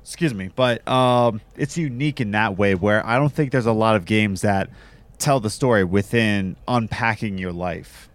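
A man's voice: on the low side, normal speed. A man hosting a casual podcast chat, losing his voice from a cold, rambling with lots of fillers and restarts. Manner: rambling, many fillers and restarts, laughs often. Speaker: podcast host.

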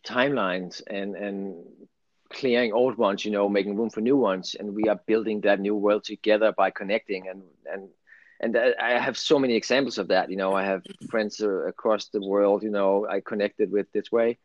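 A man's voice: fairly low pitch, steady tone, moderate pace, dry recording, lo-fi sound. A middle-aged man from Denmark with a Danish accent, talking in an earnest, rambling way in a casual spiritual conversation. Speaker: guest, spiritual channel.

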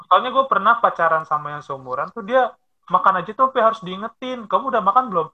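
A young man in his twenties with a somewhat high voice tells stories in a relaxed chat and slips into quoted voices. The sound is dull and lo-fi. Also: fast talker. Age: 20s. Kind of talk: casual chat.

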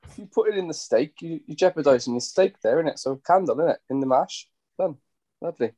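A man's voice: a little high, expressive, fast talker, dark tone, no echo. A Welsh man, a chef appearing as a podcast guest, talking bluntly and casually. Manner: blunt, laid-back, swearing casually.

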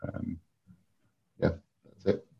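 A man from Greece answering as an interview guest, speaking in a halting, thoughtful, reflective way. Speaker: interview guest, software engineer. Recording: dull, muffled lo-fi audio.

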